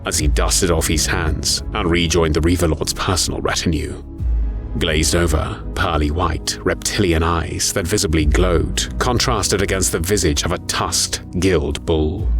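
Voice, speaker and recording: A man reading scripted, dramatic narration for an audio story. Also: low voice. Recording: music under the voice. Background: music.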